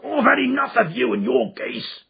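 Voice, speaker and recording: A surly market salesman , as a male reader puts him on, bellows in a strained, very high, gravelly voice. He is short-tempered and rough, shouting to drive a pest away. The storybook recording is dull and muffled. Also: moderate pace, exaggerated voice. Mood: furious. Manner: angry, bellowing.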